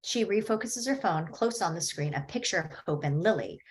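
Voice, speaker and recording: Lower-voiced woman reading stage directions. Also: expressive, fairly quick. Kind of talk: table read narration.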